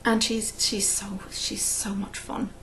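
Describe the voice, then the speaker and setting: A woman's voice: mid-range voice, level tone, slow, fairly bright tone, whispered, poor audio. A woman hosting a cross-stitch video, chatting casually and rambling, often laughing at her own stories. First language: English. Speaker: flosstube host. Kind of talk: casual chat to camera.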